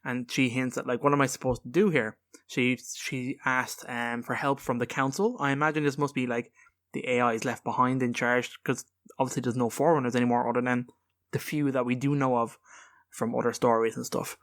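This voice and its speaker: A man with a medium-pitched voice talks as a podcast co-host. He explains video game lore casually, in an informal and rambling style. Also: steady tone, brisk, fairly bright tone.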